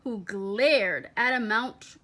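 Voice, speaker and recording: High-voiced woman reading slowly to children. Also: bright, forceful tone. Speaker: storyteller reading aloud. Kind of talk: children's picture book read-aloud.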